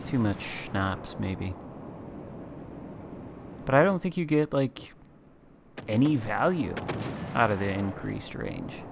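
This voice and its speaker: Man, deep-ish, smooth radio-style delivery, casual gameplay chat, background hiss. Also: wildly swooping pitch, slow, pauses often. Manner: smooth late-night radio voice. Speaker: guest content creator.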